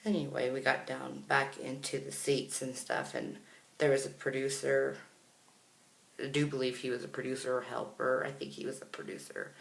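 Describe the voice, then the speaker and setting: American man, casual vlog storyteller, chatty and rambling, narrow pitch range, gravelly, roomy echoing recording. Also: level tone, normal speed, a bit bright. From Kansas, United States. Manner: chatty, rambling, self-correcting. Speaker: vlogger. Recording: roomy, echoey sound.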